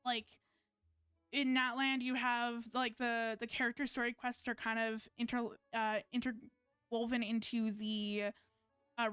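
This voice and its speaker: Chatty young woman streamer, clear voice. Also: a little high, a bit bright, no echo. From Canada. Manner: rambling, hesitant, many fillers and restarts. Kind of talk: casual gameplay chat with viewers.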